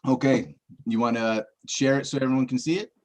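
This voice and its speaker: A man talks through a puzzle in a casual livestream chat, laying out each clue step by step and checking for agreement. His voice sits at an ordinary middle pitch, and now and then he slips in a self-deprecating joke. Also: steady tone, brisk. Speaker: treasure-hunt searcher presenting clues.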